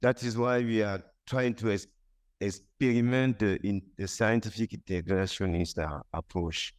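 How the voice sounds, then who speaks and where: A man's voice: lowish voice, steady tone, moderate pace. A man answering on a conference panel, hesitant, with many fillers and restarts.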